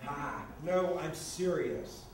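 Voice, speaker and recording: A man's voice: fairly high pitch, takes it slowly. A man acting a flippant, pleading housemate of about thirty in a staged screenplay reading. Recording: echoey, noisy room from far off.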